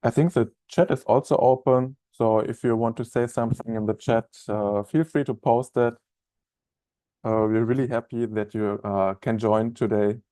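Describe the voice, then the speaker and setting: German man with a dark voice. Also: mid-range voice, steady tone, normal speed, no echo. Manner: friendly, chatty, many fillers and restarts. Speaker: webinar trainer. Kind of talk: live software training webinar. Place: office room, indoors.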